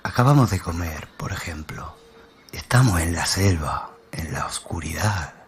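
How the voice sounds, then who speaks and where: A man's voice: low voice, animated, a little slow. A man voicing a stern, probing school inspector in a radio play, questioning firmly and commandingly over a noisy ambient background. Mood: hypnotic, coaxing. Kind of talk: dramatic radio play storytelling.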